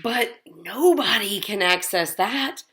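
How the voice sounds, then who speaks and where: A woman puts on a grumpy male snake for a children's story. He sounds skeptical and blunt, with a forceful, bright tone and unrushed delivery. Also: medium pitch, lively intonation, slow pace, a little hiss or hum. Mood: indignant. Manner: blunt, doubting.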